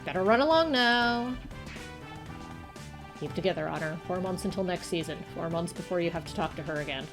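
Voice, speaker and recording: A woman's voice: on the low side, expressive, brisk. A woman voicing a vain, spiteful actress who sneers at her colleagues, in a video game read-aloud. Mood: snide. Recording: music behind the voice.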